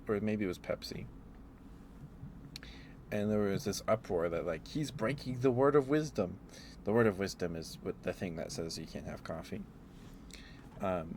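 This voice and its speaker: A man with a low-pitched voice chats in a casual ASMR style. He meanders through stories, halting and circling back as he goes. There is clearly audible background noise behind him. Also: a little mellow. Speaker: ASMR streamer. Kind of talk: rambling casual ASMR chat.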